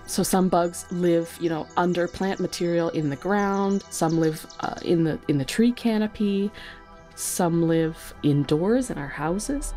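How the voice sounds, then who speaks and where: A woman's voice: on the low side, dark tone. A woman, a podcast guest, explaining things in a casual, nerdy conversational way. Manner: self-aware, nerdy explaining. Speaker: podcast guest, insect research technician. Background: background music.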